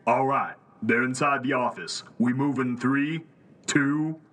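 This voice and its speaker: A weary, authoritative male security officer in an audio drama , played by a man. His voice sits at a middle pitch. He talks tersely, like someone used to giving orders. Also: a little slow, fairly bright tone, natural voice. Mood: tense command. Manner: terse, commanding.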